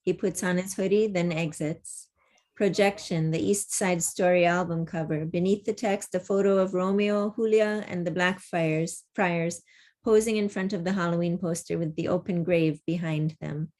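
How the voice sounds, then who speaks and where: A woman's voice: fairly low pitch, steady tone, normal speed, darkish tone. A woman taking part in a virtual table read as narrator, speaking over a video call. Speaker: table-read narrator reading stage directions. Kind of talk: scripted stage-direction narration, then casual discussion.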